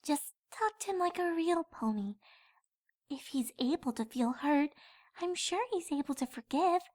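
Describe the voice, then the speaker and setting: A woman voicing a gentle, encouraging young female pony in a fan fiction audio drama. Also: sits high, animated, moderate pace, no echo, studio quality. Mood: reassuring. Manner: gentle, reassuring.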